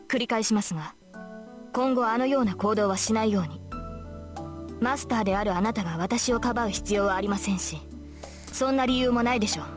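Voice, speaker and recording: A dignified young knight woman from a fantasy game, voiced by an actress, speaking quickly in a composed, formal way. Her voice sits a little high and stays stern and matter-of-fact. Music plays faintly underneath. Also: natural voice. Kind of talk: Japanese anime-style game voice acting.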